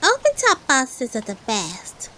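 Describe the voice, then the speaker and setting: A woman's voice: high-pitched, wildly swooping pitch, slow pace, sharp tone, a little hiss or hum, exaggerated voice, poor audio. A woman voicing a cheerful, eager, childlike little girl pig in a children's storybook. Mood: enthusiastic.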